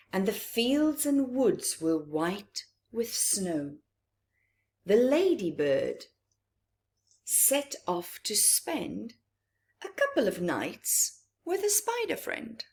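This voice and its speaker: A woman reading a picture book aloud to children, deliberate and expressive, with long pauses and small asides. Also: mid-range voice. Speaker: children's storytime reader.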